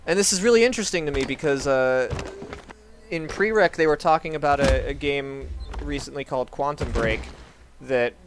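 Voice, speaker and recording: A man giving casual, halting live commentary as he streams a video game, often repeating words and restarting. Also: on the high side, over music. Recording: clearly noisy stream audio.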